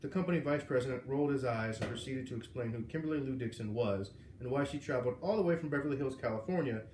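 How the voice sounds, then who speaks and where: A man's voice: mid-range voice, quick pace, darkish tone. A man narrating a children's novel aloud. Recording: roomy, echoing, noisy home recording.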